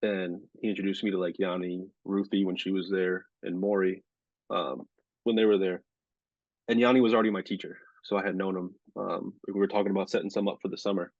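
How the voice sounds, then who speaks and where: Casual guest, twenties, flat monotone, deep-ish voice, dry close mic. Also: male voice, fairly quick, no room sound, highs cut off. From Rhode Island. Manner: monotone delivery, filler-heavy. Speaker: sports reporter and anchor, podcast guest. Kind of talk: casual interview chat.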